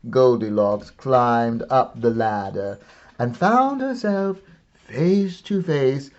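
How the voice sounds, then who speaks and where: A man reads a storybook aloud in a slow, highly animated voice. His delivery is theatrical and wry, with deliberate comic pauses. The sound is slightly dull and lo-fi. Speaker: teacher reading aloud. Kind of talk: expressive storybook read-aloud.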